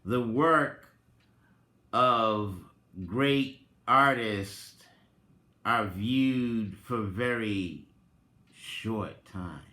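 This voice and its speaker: A man giving a dramatic reading, theatrical and deliberate, with drawn-out phrases and long pauses. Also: on the low side, bright, forceful tone. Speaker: reader and commentator, presenter of the recording. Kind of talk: dramatic reading with informal asides. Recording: roomy, echoing sound.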